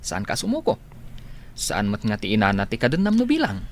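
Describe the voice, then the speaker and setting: A man playing a comic, excitable drinking buddy who gives advice in an Ilocano comedy radio drama, with a Filipino accent and exaggerated delivery. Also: fairly low pitch, fairly quick, slight background noise. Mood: teasing, encouraging. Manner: exaggerated, swooping.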